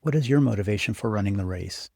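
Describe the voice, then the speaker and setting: Low-voiced man guiding a meditation. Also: vocal fry, mellow, dry recording, clean studio sound. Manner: unhurried, instructive, encouraging second-person address. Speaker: meditation guide. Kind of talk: guided meditation, scripted narration.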